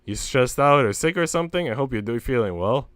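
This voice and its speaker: A man's voice: medium pitch, expressive, brisk, a bit bright, no echo. A man livestreaming a story game, switching between reading narration aloud and slangy, sweary casual chat. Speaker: streamer reading a visual novel aloud. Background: music.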